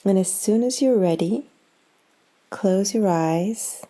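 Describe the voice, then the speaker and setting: Woman doing a guided meditation, super slow n calm, really dark soft-edged mellow voice, faint music behind. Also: medium pitch. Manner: calm, soothing, unhurried with long pauses. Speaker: yoga nidra guide.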